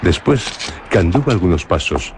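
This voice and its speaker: A Spanish man narrates a radio drama with a low, deep voice. His delivery is measured and literary, like a storyteller. Faint noise sits under the recording. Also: very animated. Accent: Spanish from Spain.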